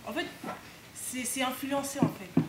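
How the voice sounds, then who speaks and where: A woman's voice: sits high, unhurried. A teenage girl in a casual classroom debate, unsure and restarting her phrases, in an echoey room with background noise. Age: teens. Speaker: student in a group discussion.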